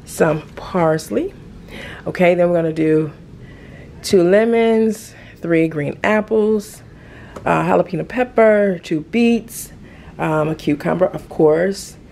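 A woman's voice: lowish voice, creaky. A middle-aged woman chatting casually as a lifestyle vlogger, recorded in a home kitchen on a camera microphone. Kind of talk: casual vlog chat. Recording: steady ambient noise behind voice.

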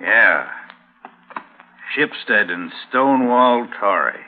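A man's voice: fairly low pitch, lively intonation, slow. An actor playing a sly, needling, drawling cattleman's sidekick in a radio drama. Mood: sly, goading. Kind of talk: 1950s radio drama acting. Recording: tinny old radio recording.

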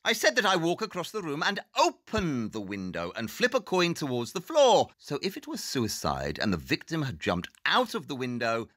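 An actor playing a brisk, aloof detective with a British accent and a formal, pedantic manner in an audio drama. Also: male voice, on the high side, fairly bright tone, no echo, clean studio sound, exaggerated voice.

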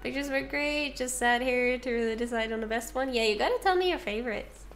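Young woman streamer, high voice, bubbly and chatty, a bit sick and congested, faint music behind. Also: a little fast. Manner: bubbly, chatty, reads chat aloud. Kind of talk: casual live-stream chat.

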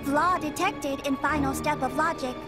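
A woman's voice: high-pitched, steady tone, moderate pace, loud music behind the voice, lightly acted. A woman voicing a small, polite robot girl in a game, speaking in clipped, literal broken phrases. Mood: matter-of-fact. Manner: clipped, literal, third-person broken grammar. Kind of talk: video game cutscene acting.

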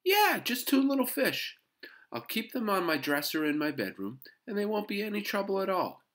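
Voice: mid-range voice, big pitch swings, normal speed, fairly bright tone, pitched above the performer's own voice. An adult reader voicing a young schoolboy, eager and earnest, in a children's storybook. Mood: eager, proud.

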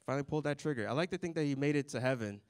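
A young man performing a stand-up set on a comedy club stage into a handheld mic, with a deadpan, dry delivery and little chuckles. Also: a little high, very fast.

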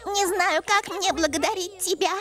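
A woman's voice: extremely high pitch, steady tone, normal speed, fairly bright tone. An actress voicing a lovestruck female eagle in a cartoon dub, with a sweet, coaxing manner. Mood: adoring. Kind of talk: cartoon dubbing. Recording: music underneath.

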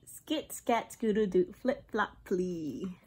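A woman's voice: mid-range voice, slow pace, a little mellow, a little hiss or hum. A young woman, a preschool teacher, reads a picture book aloud in a sing-song way for young children. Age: young adult.

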